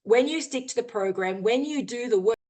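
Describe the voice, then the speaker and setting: A woman's voice: a little high, fairly quick, warm tone. A woman adventurer giving an earnest, candid talk over a video call, peppered with ums and you-knows. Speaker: guest speaker, adventurer. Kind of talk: informal talk with screen share, then Q&A.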